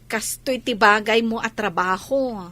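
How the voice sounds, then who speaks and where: A woman playing a lively, encouraging young female cousin in a comedy radio drama, brisk and coaxing. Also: on the high side, no echo. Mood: coaxing, upbeat.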